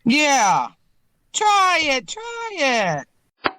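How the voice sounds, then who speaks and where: Very high, excitable woman as a coworker. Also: extremely slow, slight background noise, full character voice. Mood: skeptical, egging on. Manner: excitable, folksy. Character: skeptical, excitable card-playing coworker. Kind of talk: old-time radio comedy acting.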